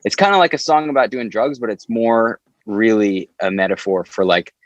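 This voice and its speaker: Guy from a band chatting in an interview, lowish voice, loose storytelling, dry sound. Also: moderate pace, no echo, dull audio. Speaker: band member being interviewed. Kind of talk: casual chat.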